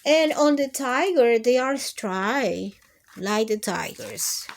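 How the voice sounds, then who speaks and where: Slow, lively high-voiced woman reading to children. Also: a little hiss or hum. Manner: sing-song, expressive, addressing children with questions. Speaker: children's storytime host. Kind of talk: animated read-aloud of picture books for young children.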